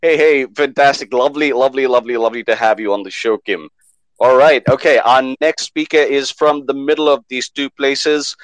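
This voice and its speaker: A man hosting a live online panel show, lively and fond of repeating words for emphasis. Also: medium pitch, brisk, no room sound, poor audio. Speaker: host.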